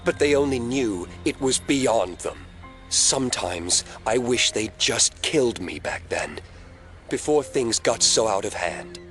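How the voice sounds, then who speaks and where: An actor playing a scheming telekinetic young man in an audio drama, speaking in a measured, controlling and ominously earnest way. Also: medium pitch, expressive, a bit bright, faint music behind. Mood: grave, confiding.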